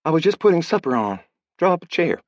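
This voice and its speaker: A man's voice: fairly high pitch, sing-song, fast, no room sound, pitched above the performer's own voice. A man voicing a wary, bossy young woman on a frontier ranch. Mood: earnest. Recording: dull lo-fi dry audiobook recording.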